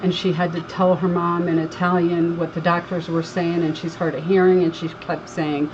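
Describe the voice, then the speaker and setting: A woman's voice: on the low side, flat delivery, normal speed, a little mellow, muffled recording, a little hiss or hum. A woman from Connecticut with a slight Southern twang, hosting a crafting livestream and chatting with viewers while she works. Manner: chatty, reads viewer comments aloud, laughs easily. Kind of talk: casual live crafting chat.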